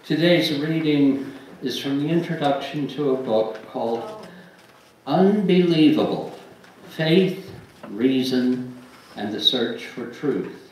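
Older man, church reading, deliberate phrasing, very reverberant, distant mic. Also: mid-range voice, lively intonation, a little mellow. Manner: deliberate, measured, pausing between phrases. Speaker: lay reader and book publisher. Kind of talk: reading aloud at a church service. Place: church sanctuary, at a wooden pulpit. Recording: very echoey room, distant mic, faint noise.

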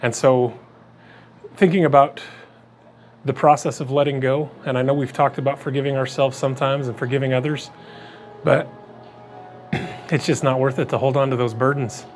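A man speaks as a vlogger with an ordinary mid-pitched voice. He talks casually and directly to his audience in a plain, conversational way. Music plays faintly behind him. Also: little pitch movement, normal speed, long pauses. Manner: plain-spoken, conversational, addresses viewers directly. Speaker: vlogger on a weight loss journey. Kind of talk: casual vlog talk to camera.

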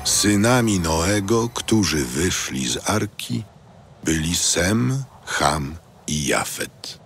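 Male narrator, audio Bible, bottom-register voice, grave and unhurried, scripted delivery. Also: low voice, very animated, fairly bright tone, a little hiss or hum. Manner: measured, grave storytelling.

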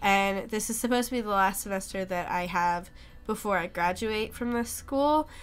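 A woman's voice: medium pitch, moderate pace, a little hiss or hum. A young woman streaming live, chatting casually with viewers in a rambling way with many ums, sick and losing her voice at times. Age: young adult.

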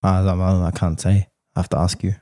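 A man co-hosting a podcast, arguing his points in casual, animated debate and often saying "bro". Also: deep voice, normal speed. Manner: animated, argumentative, says "bro" a lot. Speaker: podcast co-host.

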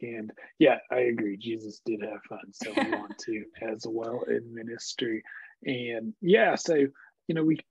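A man's voice: medium pitch, creaky, slightly rough, dry recording. A young man hosting an interview on a video call from an office, affirming his guest and filling with "you know" as he asks questions. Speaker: interview host. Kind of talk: casual interview. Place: office with bookshelves, indoors. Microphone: video call webcam. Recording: dry, dull webcam audio.

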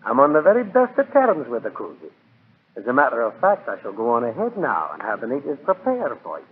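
An actor playing a smug, genteel and sinister villain in a 1940s radio drama, with mock-courteous, gloating formality. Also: male voice, mid-range voice, huge pitch swings, fairly quick. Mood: oily politeness. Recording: noisy, tinny 1940s radio recording.

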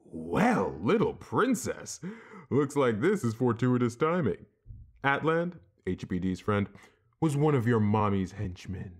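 Woman acting a low-voiced, swaggering, sarcastic mobster. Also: very animated, a little slow, a little mellow, breathy edge, full character voice. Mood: mocking. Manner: mocking, swaggering. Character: menacing, sarcastic, vulgar male mobster. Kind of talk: crime comedy audio drama acting.

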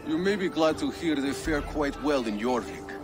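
A shifty, officious male dockmaster, played by an actor in a game. He sounds greedy, with a fairly high voice and lively swings in pitch. Music plays underneath. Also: normal speed, lightly acted. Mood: sly. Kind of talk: video game cutscene acting.

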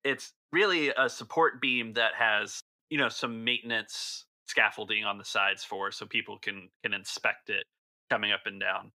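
A man's voice: lowish voice, steady tone, moderate pace, bright, forceful tone, no room sound. A man narrating an improvised tabletop game as game master, describing scenes in a conversational way.

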